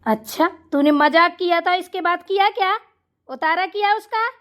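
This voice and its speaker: Woman playing cartoon mother-in-law, high voice, bossy, doting, indignant, scolding. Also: normal speed, a bit bright. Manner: scolding, indignant, rhetorical questions. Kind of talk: Hindi cartoon family comedy acting.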